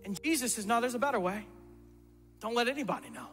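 A man's voice: very high voice, sing-song, fast. A middle-aged man preaching a sermon as pastor, emphatic and repeating words for stress. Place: church stage before a congregation. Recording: reverberant hall with soft music.

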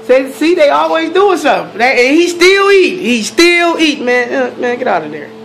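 A woman's voice: sits high, very animated, normal speed. A woman in her thirties from Los Angeles, captaining a cooking team with playful, boastful trash talk into a phone camera at home. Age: 30s. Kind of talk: casual audition pitch. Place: small home kitchen and living room. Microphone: handheld phone camera.

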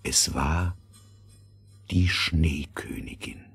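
A man narrating a scripted fairy tale in a dramatic, deliberate storytelling manner. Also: very deep voice, fairly bright tone, breathy edge, soft background music.

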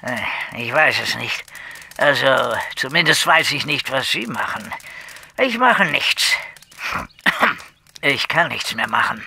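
Gravelly, weary policeman. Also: medium pitch, expressive, takes it slowly, pauses often, sharp tone, slight background noise, full character voice. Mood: weary, evasive. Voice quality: gravelly, husky. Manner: blunt, hesitant, throat-clearing. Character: weary, evasive, gruff male police investigator. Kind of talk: German crime audio drama dialogue.

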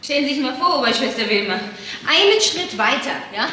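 A woman's voice: high voice, big pitch swings, brisk, pushed and bright, exaggerated voice. A woman playing a shaken young nurse in a crime radio play, agitated and repeating herself. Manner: agitated, repetitive. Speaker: actor. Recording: echoey room with faint noise.

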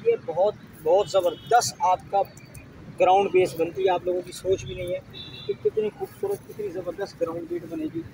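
High-pitched Pakistani man, distant noisy recording. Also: animated, fairly quick, warm tone. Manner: repetitive, emphatic explaining. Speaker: angler presenting a fishing tutorial. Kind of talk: informal how-to vlog narration. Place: outdoors in a park, later another spot after leaving it. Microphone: phone camera, some distance away. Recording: distant mic, noisy ambient background.

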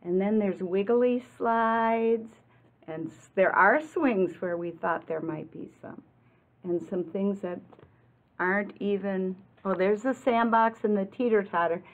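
An American woman in her sixties reads a picture book aloud like a teacher, expressively and deliberately, adding explanations and questions for young listeners. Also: mid-range voice. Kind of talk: children's read-aloud with explanatory asides. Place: classroom, indoors. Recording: muffled, lo-fi webcam-like sound.

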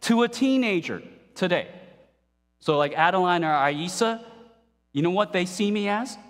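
Animated man preaching in a reverberant hall. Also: sits high, normal speed. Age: 40s. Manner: animated, playful, asks the congregation questions. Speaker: pastor. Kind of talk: sermon. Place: church stage at a pulpit, indoors.

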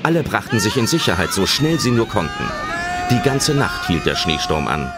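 A man's voice: lowish voice, big pitch swings, normal speed, very noisy. A man narrating a scripted children's adventure audio drama in a storytelling style.

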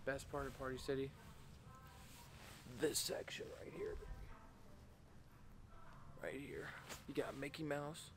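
A man's voice: medium pitch, fairly even intonation, takes it slowly, long pauses, background noise. A young American man chatting casually and jokingly to camera with playful, boastful slang. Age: young adult. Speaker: vlogger. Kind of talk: casual joking vlog chat. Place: mostly inside a large party store.

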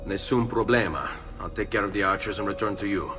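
A man's voice: on the low side, fast talker, recorded from a distance, over music, natural voice. A male actor playing a cool, resolute assassin hero in a video game, with an Italian accent and a terse, self-assured manner. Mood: calm, determined. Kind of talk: video game cutscene acting.

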